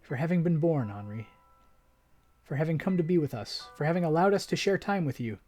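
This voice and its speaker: A reader voicing a gentle man who speaks quietly and tenderly at a deathbed. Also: medium pitch, expressive, a little fast, faint music behind, lightly acted. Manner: quiet, soft-spoken, tender.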